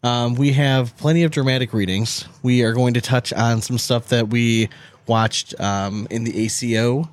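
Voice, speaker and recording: A man's voice: mid-range voice, steady tone, normal speed. A man co-hosting a podcast in casual, laid-back banter, sometimes drawing out his phrases. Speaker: podcast co-host.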